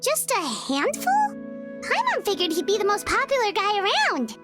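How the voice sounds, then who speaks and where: Eine quietschhohe, quirlige kleine Feen-Begleiterin aus einem Videospiel, die in der dritten Person von sich redet. Die Stimme springt lebhaft auf und ab, und im Hintergrund läuft deutlich Musik. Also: female voice, wildly swooping pitch, moderate pace, over loud music, full character voice. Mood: chirpy. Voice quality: high, bubbly, speaks of herself in third person. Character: tiny floating female fairy companion, chirpy. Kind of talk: video game voice acting.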